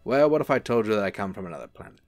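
Casting: male actor as a suave, unruffled angel, low and lively in pitch, dry sound over music. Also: racing, dry recording. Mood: serene, teasing. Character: suave, serene male angel posing as an assistant. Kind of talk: film-adaptation audio drama acting.

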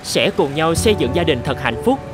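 A man playing a cheerful, lovestruck little male bird, speaking eagerly and sentimentally in an animated fairy tale. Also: a little high, fairly quick. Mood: happy, tender. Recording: music under the voice.

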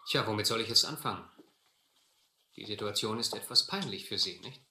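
A man acting a shrewd, adventurous seafarer in a radio drama, deliberate and controlled with a touch of irony. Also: on the low side, animated, sharp tone, heavily acted. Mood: coolly confronting. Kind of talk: radio drama acting, first-person narration and dialogue. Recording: roomy, slightly noisy old radio-play recording.

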